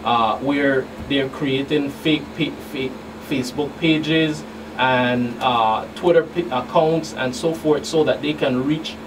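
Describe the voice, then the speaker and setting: Halting middle-aged man, distant and noisy. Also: medium pitch, steady tone. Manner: halting, repeats words. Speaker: federal agent guest. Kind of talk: radio interview answers. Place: small radio studio. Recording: distant mic, clear room hum.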